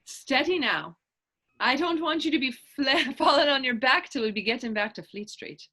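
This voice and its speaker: A bold, playful woman played by an actress in a readers theater drama. Her fairly high voice swings widely in pitch as she teases in a lilting, old-fashioned dialect. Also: expressive, moderate pace, bright, forceful tone, exaggerated voice. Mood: flirtatious teasing. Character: bold, teasing grown Irish woman of Dublin. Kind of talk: readers theater stage acting.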